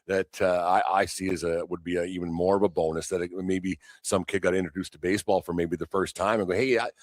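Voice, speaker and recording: A man with a low voice hosts a casual sports interview. He talks quickly and rambles, doubling back on phrases as he builds a question. The sound is dry. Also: steady tone, dry recording. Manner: rambling, repeats and restarts phrases mid-question. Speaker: sports podcast host.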